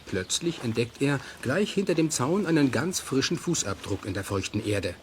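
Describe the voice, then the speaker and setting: A man's voice: mid-range voice, animated, normal speed, slight background noise, lightly acted. A man reading scripted, present-tense scene narration for an audio play.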